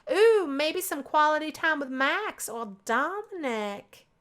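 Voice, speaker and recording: A woman voicing a nervous, teasing young female housemate in a visual novel, with an excitable manner. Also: high voice, takes it slowly, pitched above the performer's own voice, full character voice. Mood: curious, teasing.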